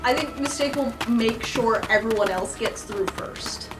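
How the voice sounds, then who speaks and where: A woman's voice: on the high side, unhurried, fairly bright tone, soft background music. A woman casually improvising as a tabletop player, speaking a little hesitantly. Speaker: tabletop role-playing player. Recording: echoey room, distant from mic.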